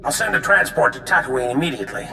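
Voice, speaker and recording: A man playing a brisk, authoritative military general in a video game. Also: on the high side, animated, echoey room, big-room sound, noisy background. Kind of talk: dramatic video game acting.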